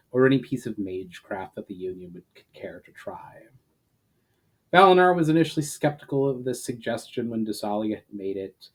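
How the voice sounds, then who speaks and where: A man's voice: mid-range voice, a little mellow, rough recording. A man narrating a fantasy story in a dramatic storytelling style, occasionally stumbling over a word. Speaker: author and narrator reading his fantasy novel aloud.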